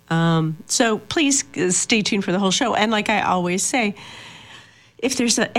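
Woman, radio host, casual, hesitant, fillers, laughs lightly, studio mic. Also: medium pitch.

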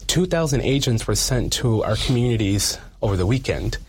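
A man's voice: medium pitch, lively intonation, normal speed, breathy edge. A man speaking as a community activist in an interview, earnest and emphatic, repeating phrases for weight.

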